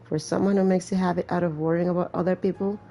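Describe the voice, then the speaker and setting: Low, creaky, mellow woman gamer reading aloud. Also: fairly even intonation, quick pace. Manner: wry, blunt asides. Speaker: gaming video host. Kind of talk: reading game text aloud with casual asides. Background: faint game music.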